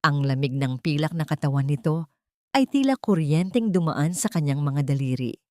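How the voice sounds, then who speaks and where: A woman reading a dramatic scripted story in a measured, solemn and suspenseful way. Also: deep voice, animated, darkish tone, no room sound, clean studio sound. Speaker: audio story narrator.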